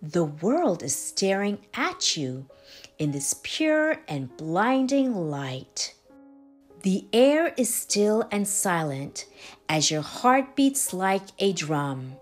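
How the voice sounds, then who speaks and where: A woman reading a children's picture book aloud with deliberate, dramatic phrasing of the verse. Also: lowish voice. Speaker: storybook reader. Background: music.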